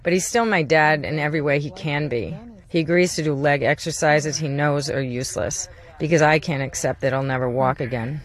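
Quiet, measured woman narrator. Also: sits low, a crowd in the background. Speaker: journalist narrating a documentary about her father. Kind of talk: scripted documentary narration.

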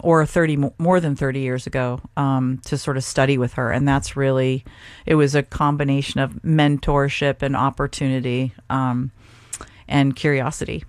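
Smooth-voiced thoughtful woman chef. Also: rumbling low, no room sound, studio quality. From Seattle, Washington, USA. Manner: thoughtful, hesitant with many restarts. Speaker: chef and guest. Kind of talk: radio interview conversation.

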